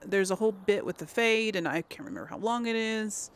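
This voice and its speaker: A woman hosting a casual let's play, thinking aloud in a folksy way with a creaky voice as she comments on the game. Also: medium pitch, brisk. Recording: faint background game noise.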